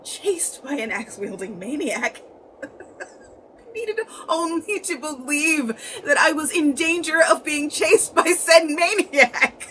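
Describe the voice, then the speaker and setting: A woman's voice: high voice, expressive, takes it slowly, fairly bright tone, a little hiss or hum, heavily acted. A woman playing an unhinged, murderous young thrill-seeker in a theatrical, darkly gleeful dramatic monologue. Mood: manic amusement.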